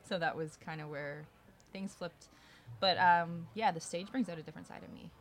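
A woman's voice: fairly low pitch, steady tone, moderate pace. A woman whose first language is Polish, chatting casually as a podcast guest in a hotel bar, with lots of fillers and easy laughter. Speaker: podcast guest, arena host. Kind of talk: casual interview chat.

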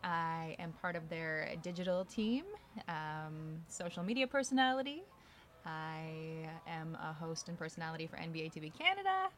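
A woman whose first language is Polish talks easily as a guest in a hotel bar, full of fillers and quick laughs. Her voice sits slightly low but rings bright and forceful. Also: lively intonation, a little hiss or hum. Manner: chatty, laughs often, many fillers. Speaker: podcast guest, arena host. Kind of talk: casual interview chat.

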